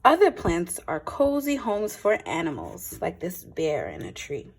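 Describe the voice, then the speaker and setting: Female primary teacher for a picture book read-aloud: slow, deliberate, a little husky, explaining simply to little kids. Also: on the low side, rough recording. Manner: simple explanatory asides to young listeners; expressive, deliberate phrasing for children. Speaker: teacher reading aloud to young children.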